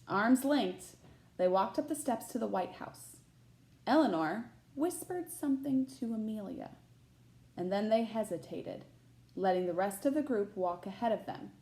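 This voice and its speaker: A woman's voice: medium pitch, a little slow, darkish tone, low-quality recording. A woman teacher reading a children's picture book aloud expressively, with short asides to her listeners.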